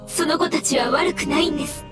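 A woman voicing a meek, sorrowful, polite elemental creature in an anime game, speaking softly. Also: high-pitched, brisk, close to an everyday voice. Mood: pleading. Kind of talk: Japanese anime game voice acting. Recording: loud music behind the voice.

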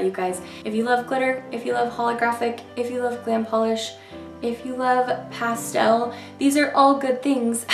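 Hoarse, gushing woman polish reviewer. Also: a little high, reverberant, distant mic. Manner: gushing, chatty, keeps saying "you guys". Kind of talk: casual product review. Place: indoor room in front of polish shelves.

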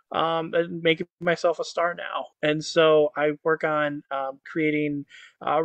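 A man's voice: a little high, dry recording. Man, 30s, from Croatia, interview guest, upbeat, fluent, fillers, dry webcam sound. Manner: upbeat, fluent, many "um"s and "you know"s. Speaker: guest, motivational speaker. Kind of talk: casual interview chat. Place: indoor home office with virtual backdrop. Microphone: webcam on a video call.

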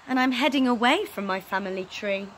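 A woman acts out a little stick man from a children's storybook, protesting in a high, bright voice. He is indignant and pleading, and every line comes out emphatic. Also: fairly quick, slight background noise, close to an everyday voice, low-quality recording.

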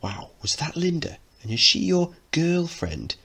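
A man's voice: a little high, big pitch swings, measured pace, creaky, bright, forceful tone, slightly rough, voice pushed up, heavily acted. A man voicing a posh, naive, eager teenage boy who speaks politely and earnestly in a dramatic play reading. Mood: curious. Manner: polite, earnest, well-spoken.

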